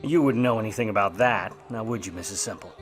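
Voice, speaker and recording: A man's voice: lowish voice, fast talker, soft background music, close to an everyday voice. Man playing a world-weary, wisecracking noir private eye. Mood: teasing. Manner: wry, sardonic, hard-boiled. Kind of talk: noir radio play narration and dialogue.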